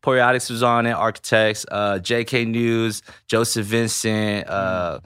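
A man's voice: lowish voice, fairly even intonation, measured pace, a bit bright, clear, resonant tone, no echo, studio quality. A man chatting casually as a podcast guest, telling rambling stories in a laid-back way.

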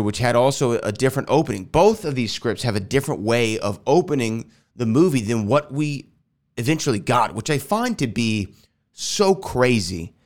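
Congested man rambling on a podcast. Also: mid-range voice. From Houston, Texas. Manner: rambling, self-correcting. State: nasal, recovering from a sinus infection. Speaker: podcast host. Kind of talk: casual solo podcast talk. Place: air-conditioned house.

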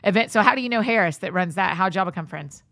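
A woman's voice: mid-range voice, lively intonation, fast talker, gravelly, fairly bright tone, no echo, studio quality. A woman hosting a casual interview, speaking in an enthusiastic and affirming way. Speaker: podcast host.

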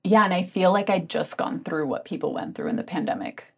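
Woman telling her story, low-pitched voice. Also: sing-song, a little fast, gravelly, breathy edge. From Hong Kong. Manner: candid, reflective storytelling, many "like" fillers. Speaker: guest, tea ceremony guide. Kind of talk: casual podcast conversation.

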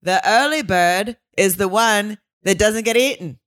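A man's voice: high voice, a little slow, fairly bright tone, dry recording, studio quality, pitched above the performer's own voice. A woman putting on a Greek accent to voice an older male restaurant boss who confidently garbles common sayings. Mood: insistent.

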